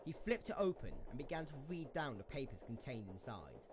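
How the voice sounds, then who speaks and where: A man's voice: medium pitch, sing-song, fairly quick. A man narrating a scripted story as part of an amateur dramatic reading. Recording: slightly noisy amateur microphone recording.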